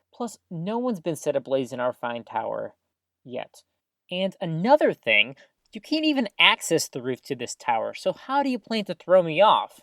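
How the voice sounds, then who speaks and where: A woman's voice: low-pitched, dry recording, studio quality, heavily acted. A woman playing a stubborn, playful radio co-host in an audio drama, with a theatrical, mock-formal, quibbling manner. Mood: stubborn, teasing. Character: stubborn, playful radio host who loves old tech.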